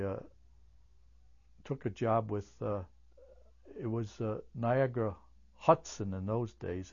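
An older man speaks slowly and haltingly, stopping often to search for words. His voice sits low and gravelly, and German was his first language. The tape recording sounds dull and muffled. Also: dark tone. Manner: halting, many fillers and restarts. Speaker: oral history interviewee. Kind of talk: oral history interview.

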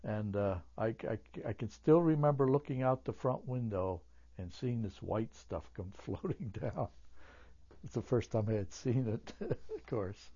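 An older man whose first language is German, recalling his childhood in an oral history interview, halting with many fillers and restarts. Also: mid-range voice, expressive, warm tone, breathy edge, dull audio.

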